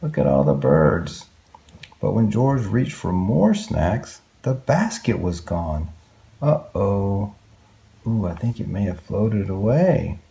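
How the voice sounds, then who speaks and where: A man's voice: lowish voice, sing-song, takes it slowly, creaky, dark tone. A man reading a picture book aloud to young children, expressively, with exclamations and questions to his listeners. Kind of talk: read-aloud picture book narration with asides.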